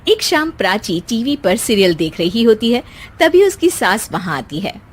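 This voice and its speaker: A woman's voice: fairly high pitch, very animated, moderate pace, slight background noise. A woman narrator reading a scripted story as storytelling narration.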